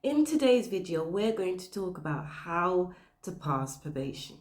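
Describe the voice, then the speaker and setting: A woman giving career advice to the camera, her voice croaky while she recovers from the flu, talking earnestly and stressing her points. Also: fairly low pitch, very animated, unhurried, reverberant, very noisy. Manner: earnest, emphatic, stressing points with "okay?" and "you know". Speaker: career advice channel host. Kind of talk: direct-to-camera advice talk.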